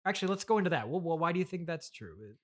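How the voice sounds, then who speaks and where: A man hosting a comedy interview, hesitant and halting, politely skeptical as he asks questions. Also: on the high side, lively intonation. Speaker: interviewer, podcast host. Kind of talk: improvised deadpan comedy interview.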